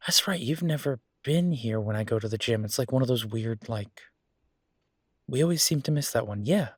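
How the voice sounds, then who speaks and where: An easygoing werewolf boyfriend from an audio roleplay, acted by a man. He has a mid-pitched male voice and chats casually, with a playful, teasing and slightly vain manner. The sound is dry, with no room on it. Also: animated, normal speed, husky, dry recording. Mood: easygoing, inviting.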